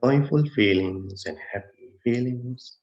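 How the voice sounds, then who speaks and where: A man leading a guided meditation as a teacher, speaking gently and unhurriedly in short phrases with long pauses. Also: mid-range voice. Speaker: Buddhist monk, meditation teacher. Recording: dull, muffled lo-fi sound.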